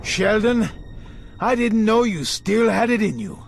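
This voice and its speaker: A man playing a cocky, wry action-hero secret agent in a video game, with a gravelly voice. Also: high-pitched, animated, a little slow, heavily acted. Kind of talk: video game cutscene acting. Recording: music behind the voice.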